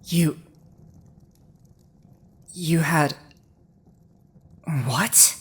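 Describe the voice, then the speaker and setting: A woman voicing a commanding villainous female dragon in a dramatic audio roleplay, speaking deliberately with weighty pauses. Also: low-pitched, husky, heavily acted. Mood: stern, demanding. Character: villainous commanding female dragon, intense yet softening to compassion.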